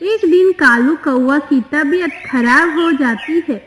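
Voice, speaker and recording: A woman's voice: high-pitched, unhurried, fairly bright tone, slight background noise. A woman narrating a scripted story for children.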